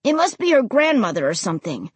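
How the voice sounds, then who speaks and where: A woman's voice: a little high, very animated, fast, breathy edge, no echo. A woman voicing a stubborn, impatient young boy in a storybook, whiny and insistent. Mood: confused, impatient.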